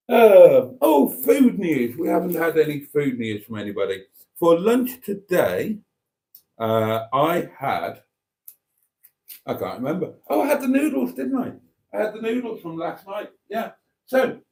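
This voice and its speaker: A man's voice: mid-range voice, dark tone. A man hosting a casual livestream, reading out viewer comments in a chatty, cheeky way and calling his audience darlings. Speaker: livestream host. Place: indoors at home, chilly room.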